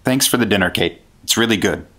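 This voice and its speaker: A man's voice: fairly low pitch, quick pace, sharp tone, faint music behind. An American man playing an earnest, aggrieved 1950s government agent in a radio drama. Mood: friendly.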